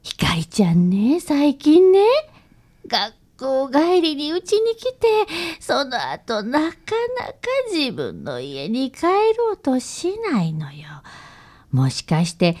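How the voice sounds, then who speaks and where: A woman's voice: on the high side, wildly swooping pitch, slow, darkish tone, heavily acted. A woman acting an anxious, doting old grandmother with a Kansai accent in a radio drama. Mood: worried, tearful. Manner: plaintive, hesitant.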